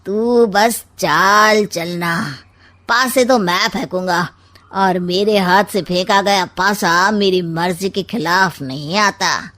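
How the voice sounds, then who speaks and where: A woman's voice: mid-range voice, takes it slowly, a bit bright. A woman voicing a sly, scheming middle-aged uncle in a cartoon, with a teasing, drawling way of talking.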